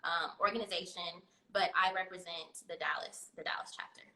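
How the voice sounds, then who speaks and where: A woman's voice: on the low side, fairly even intonation, a little fast, pushed and bright. A woman in her thirties, a guest on a casual video-call interview, chatty and laughing often, with many fillers. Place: home room. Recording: echoey room on a video call.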